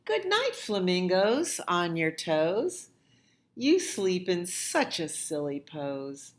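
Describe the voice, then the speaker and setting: A woman hosting a storytime for young children, reading aloud and reciting rhymes in a gentle sing-song way, often dropping to a hush. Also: fairly low pitch, big pitch swings, slow pace. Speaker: children's librarian and storytime host.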